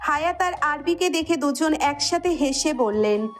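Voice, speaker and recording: A Bangladeshi woman reads a romantic story as its narrator. Her voice sits high, and soft music plays faintly behind her scripted delivery. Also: lively intonation, a little slow.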